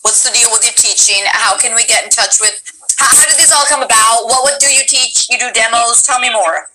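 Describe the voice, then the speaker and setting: A woman's voice: fairly quick, background noise. A woman hosting a casual stream interview, enthusiastic and reading chat messages aloud. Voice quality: deep voice. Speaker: stream host.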